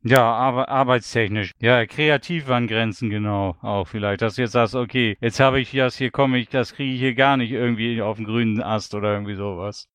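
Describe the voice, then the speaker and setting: A man's voice: medium pitch, fairly even intonation, brisk, hardly any pauses, fairly bright tone, smooth, no room sound, clean studio sound. A man working as an interviewer, asking questions and adding remarks in an informal, conversational way.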